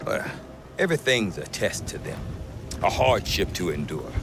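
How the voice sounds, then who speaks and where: An actor playing a gruff middle-aged trader who talks with dry humor. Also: male voice, low-pitched, normal speed, background noise. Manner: wry, dry humor, lively delivery. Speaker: merchant trader. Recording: game cutscene with music behind.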